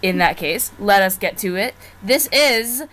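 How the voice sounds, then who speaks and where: A woman's voice: mid-range voice, lively intonation, unhurried, fairly bright tone. A woman hosting a live fan reading with casual, playful chat. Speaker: host of a fan reading stream. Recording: noisy amateur online stream.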